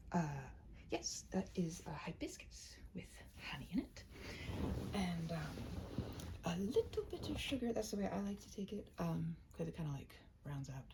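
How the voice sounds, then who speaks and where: A woman speaking as a storyteller in a cozy, intimate one-to-one style, chatty and a little self-conscious. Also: fairly low pitch. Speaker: storyteller, host reading own short stories. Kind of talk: cozy roleplay chat to listener, then story read-aloud.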